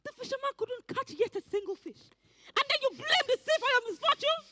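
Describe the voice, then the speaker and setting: Shrill, haughty Nigerian schemer played by a woman. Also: lively intonation, a little fast, sharp tone, a little hiss or hum, full character voice. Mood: indignant. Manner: indignant, scolding. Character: haughty, scornful scheming woman denying blame. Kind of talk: declamatory stage acting, Nigerian drama.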